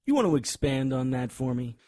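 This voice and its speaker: An actor playing a hot-tempered private detective in a noir radio drama, gruff, sardonic and confrontational. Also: male voice, medium pitch, very animated, fairly quick, warm tone, dry recording, lightly acted.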